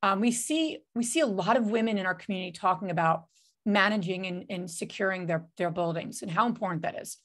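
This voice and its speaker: A woman's voice: mid-range voice, fairly quick, fairly bright tone. A woman hosting a webinar over a video call, talking casually with many fillers and restarts. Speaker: webinar host.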